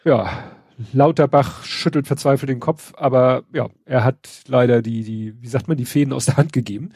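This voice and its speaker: Ein Mann mit Schnupfen, der in lockerem Plauderton und mit trockenem Sarkasmus über Neuigkeiten spricht, oft mit Füllwörtern. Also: male voice, mid-range voice, moderate pace, vocal fry, darkish tone, breathy edge, no echo, studio quality. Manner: wry, sarcastic, hesitant with many fillers. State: stuffy nose from a cold. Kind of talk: casual news chat.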